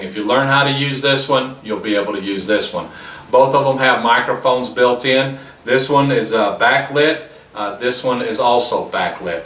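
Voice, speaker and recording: A man's voice: medium pitch, pushed and bright. Man explaining gear in a roomy space. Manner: plain step-by-step explaining. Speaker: product presenter. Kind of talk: product demonstration. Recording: roomy, distant mic with faint noise.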